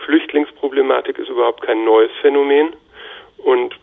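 A male university lecturer explaining things in a measured, academic way, with long sentences. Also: mid-range voice, fairly even intonation, creaky, narrow, tinny audio. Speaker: university lecturer in political science. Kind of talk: explanatory interview talk.

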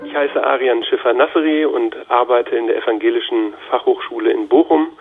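A male university lecturer explaining things in a measured, academic way, with long sentences. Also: medium pitch, gravelly, slightly rough, narrow, tinny audio. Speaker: university lecturer in political science. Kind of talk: explanatory interview talk.

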